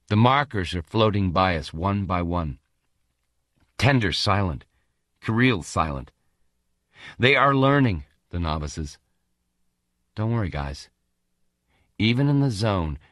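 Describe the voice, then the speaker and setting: Low-voiced man narrating a novel, very dry sound. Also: big pitch swings, slow, long pauses, a bit bright, no echo. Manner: gritty, colloquial, dramatic storytelling. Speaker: audiobook narrator. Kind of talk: scripted first-person fiction narration.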